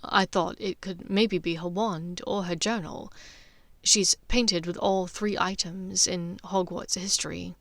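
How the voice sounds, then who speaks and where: A woman voicing an earnest, stubborn young adult wizard son in an audiobook, quiet, hesitant and reasoning. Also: on the low side, steady tone, unhurried, creaky, no room sound. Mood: uncertain.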